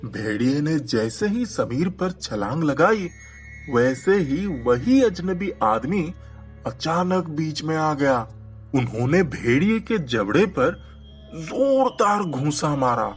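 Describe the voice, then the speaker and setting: A man narrates a children's story in a high, animated voice. His delivery is scripted and slow, with music playing behind him.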